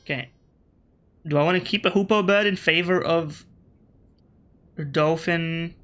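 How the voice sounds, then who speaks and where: A man with an Australian accent, shifted by British media, talking over his gameplay in a casual way. His voice sits a bit high and sounds bright and forceful. He thinks out loud, catches himself mid-sentence and throws dry remarks at the players he faces. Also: unhurried. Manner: thinks aloud, self-correcting, wry asides to opponents. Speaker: gaming video creator.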